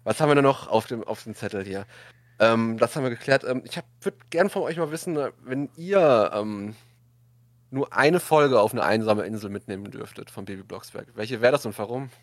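A man's voice: medium pitch, moderate pace, no room sound. A man hosting a podcast in a casual, chatty interview style. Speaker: podcast host.

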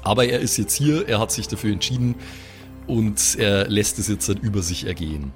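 A man's voice: on the low side, fairly quick, faint music behind. A man chatting and joking as a player in an improvised tabletop role-play podcast.

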